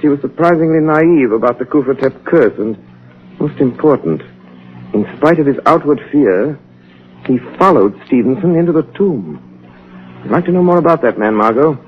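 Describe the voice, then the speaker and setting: A man playing a shrewd, assured gentleman detective in a 1930s radio drama, talking analytically on a muffled old recording. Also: mid-range voice, animated, moderate pace, slight background noise, lightly acted. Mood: composed, analytical. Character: shrewd, composed gentleman detective giving orders.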